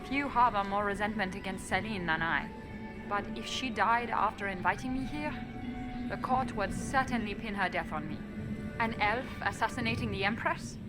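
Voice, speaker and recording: An actress playing an elven spymaster in a video game, guarded and coolly defiant, speaking in a measured, dry way. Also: female voice, mid-range voice, natural voice. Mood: determined. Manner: measured, matter-of-fact, dry. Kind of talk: video game dialogue acting. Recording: music behind the voice. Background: game music.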